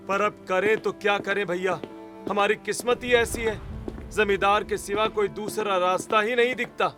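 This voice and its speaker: A man's voice: on the high side, lightly acted. A man voicing a poor, weary village labourer with a pleading, resigned manner in a cartoon story.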